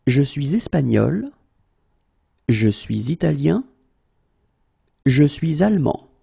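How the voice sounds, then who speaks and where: A man's voice: a little high, big pitch swings, slow pace, gravelly, mellow, breathy edge, dry recording, studio quality. A male native French teacher drilling phrases one at a time, repeating each and then breaking it into single words. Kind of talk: slow phrase-by-phrase language drill.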